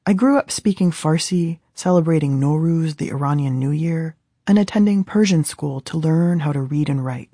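A woman's voice: low-pitched, moderate pace, warm tone, dry recording. An American woman in her thirties from Southern California, reading her own book aloud as scripted audiobook narration in a studio. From Southern California, USA. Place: dry recording studio.

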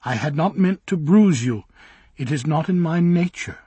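A man's voice: on the high side, lively intonation, normal speed, mellow, breathy edge, no echo, pitched above the performer's own voice. Man doing a prim affected young lady. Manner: affected, genteel.

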